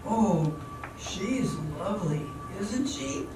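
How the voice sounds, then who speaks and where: Woman acting a slow, naive young dancer, echoey hall. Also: mid-range voice, expressive, over music. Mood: wistful, admiring. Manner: hesitant, wide-eyed. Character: naive, eager, self-doubting small-town young woman dancer. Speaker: actress in an amateur radio drama. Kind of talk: theatrical amateur radio drama acting. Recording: very echoey hall, distant mic, background noise.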